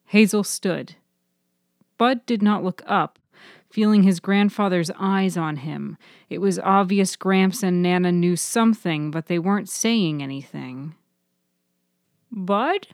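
A woman's voice: on the low side, unhurried, darkish tone, no echo, studio quality. A woman reading scripted fiction as the narrator of a serial story podcast.

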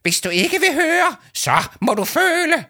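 A man voicing a pompous, furious middle-aged male official who shouts and rants in a shrill voice. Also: sing-song, heavily acted. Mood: raging. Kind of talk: audiobook character voice.

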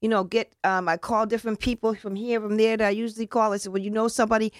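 A woman's voice: a little high, level tone, rapid-fire, no echo. Earnest rambling woman, dry close sound. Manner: rambling and earnest, with frequent restarts and casual slang. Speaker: community event organizer. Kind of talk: casual interview talk.

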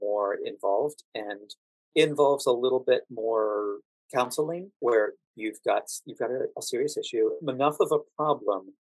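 American man, measured guest, very dry recording. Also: mid-range voice, dry recording, poor audio. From United States. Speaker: podcast guest, actor working as a standardized patient. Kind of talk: thoughtful interview conversation.

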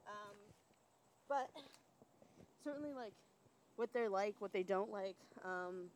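A woman's voice: mid-range voice, takes it slowly. A woman hosting a podcast, chatting casually with her guest while out walking outdoors. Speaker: podcast host, interviewer. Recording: outdoor recording with faint background noise.

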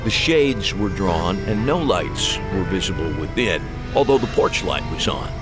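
Casting: wry man to narrate a first-person mystery, on the low side, with musical backing. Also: expressive, unhurried, over music. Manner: wry, dry humor.

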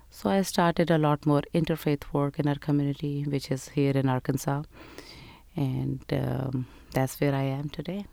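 Woman, smooth clear voice. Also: very deep voice, dark tone, no echo. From Pakistan. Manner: earnest, measured storytelling with fillers. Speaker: guest. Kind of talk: interview answers.

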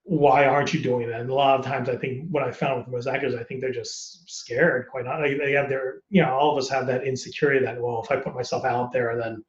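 A man's voice: mid-range voice, steady tone, fast talker, few pauses. Candid man in a roomy, dull recording. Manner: candid, rambling, laughs often. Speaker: actor guest. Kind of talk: casual podcast conversation.

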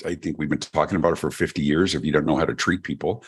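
A middle-aged man from Denver with a deep voice, telling his story as a guest on an interview show. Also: rapid-fire, breathy edge. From Denver, Colorado, USA. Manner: animated storyteller, chatty with restarts. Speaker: keynote speaker and guest. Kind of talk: podcast interview.

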